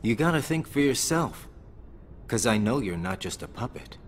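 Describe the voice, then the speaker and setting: A man playing a laid-back, loyal and slightly cocky young best friend in a video game, relaxed and drawling. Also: mid-range voice, normal speed, slight background noise, close to an everyday voice. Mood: reassuring, easygoing. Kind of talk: video game cutscene acting.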